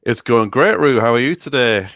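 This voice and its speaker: A man's voice: mid-range voice, expressive, normal speed, a bit bright, dry recording, studio quality. A middle-aged man co-hosting a casual interview in a friendly, welcoming, wordy way. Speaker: podcast co-host.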